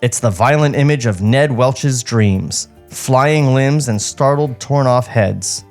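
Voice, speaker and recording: A man's voice: medium pitch, slow, faint music behind. A man hosting a podcast, reading stage directions as comic narration and dropping in wry asides.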